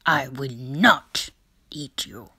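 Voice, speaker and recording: Woman as a grumbling, slow-talking storybook monster. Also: extremely low pitch, bright, forceful tone, breathy edge, dry recording, studio quality, exaggerated voice. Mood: grumbling, reluctant. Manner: grumbling, deliberate, whispers and bellows. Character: grumpy, reluctant male cave-dwelling monster, muttering threats. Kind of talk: picture-book character voice.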